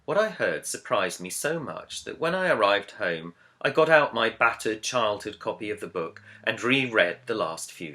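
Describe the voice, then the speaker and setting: A man, an author, reading his own book introduction as scripted narration in a measured, earnest, reflective way. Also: on the low side, pushed and bright. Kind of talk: scripted audiobook-style narration.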